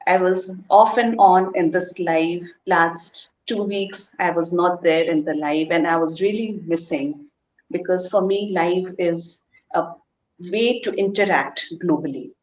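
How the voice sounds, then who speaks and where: A woman's voice: on the low side, a little slow, a little mellow, a little hiss or hum. Woman from Nepal in an echoey room. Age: middle-aged. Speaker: panelist, former homemaker.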